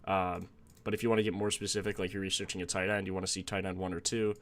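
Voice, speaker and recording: A young man with a slightly raspy, sore-throated voice, hosting a casual live stream, thinking aloud and greeting viewers in the chat. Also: low voice, level tone, fast talker, a bit bright. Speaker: sports betting stream host.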